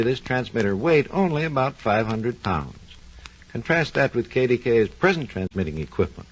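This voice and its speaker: A man's voice: fairly low pitch, husky, full character voice. A man playing a knowledgeable doctor who recalls radio's early days, in vivid, folksy scripted 1940s radio narration. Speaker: expert storyteller. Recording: dull, lo-fi 1940s radio recording.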